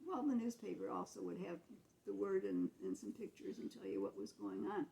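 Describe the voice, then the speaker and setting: A woman's voice: lowish voice, huge pitch swings, brisk, creaky, dark tone, poor audio. An American woman in her eighties telling childhood memories to family in a casual, explanatory, matter-of-fact way. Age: 80s. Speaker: grandmother recalling her childhood.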